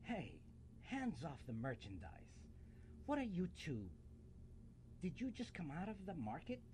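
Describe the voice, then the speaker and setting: A man acting a grumpy, defensive broken toy, gruff and dismissive, in a student radio play. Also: on the high side, huge pitch swings, a little slow, a little mellow, rough recording. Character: grumpy, defensive broken male toy, a loner hiding away. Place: college radio studio, live broadcast. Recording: clear background noise in radio studio.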